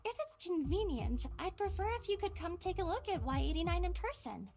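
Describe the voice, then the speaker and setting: A woman's voice: extremely high pitch, very animated, a little fast, a little mellow, full character voice. An actress playing a young woman researcher in a video game, polite and careful, sweet on the surface and guarded underneath. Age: young adult.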